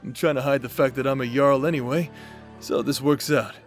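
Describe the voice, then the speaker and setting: A confident warrior nobleman, acted by a man in a fantasy audio drama, speaking at a middle pitch. He is casual and teasing, brash yet protective, with faint music underneath. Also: steady tone, brisk, lightly acted. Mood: friendly, teasing. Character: brash, protective adult nobleman warrior captain, easygoing but menacing. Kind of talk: fantasy audio roleplay acting.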